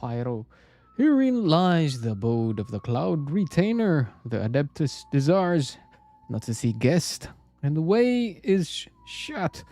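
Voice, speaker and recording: Animated Indonesian man streaming a game. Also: a little high, slow, a little hiss or hum. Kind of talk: casual live gameplay commentary. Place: indoor room, streaming setup. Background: game music.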